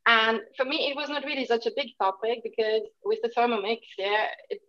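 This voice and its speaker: A woman in her thirties, originally from Austria, speaks plainly and steadily in a fairly high voice. She is on a video call from home, and the audio comes through dull and lo-fi. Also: fairly quick, fairly bright tone. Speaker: new team member, guest. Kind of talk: self-introduction on a video call. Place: home, indoors. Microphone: phone or laptop camera, video call.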